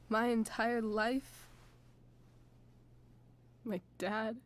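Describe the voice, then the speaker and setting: Slow, soft-spoken wounded teenage girl. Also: on the high side. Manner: slow, halting, pensive delivery. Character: disillusioned, wounded teenage girl confiding in her friend. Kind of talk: naturalistic video game voice acting.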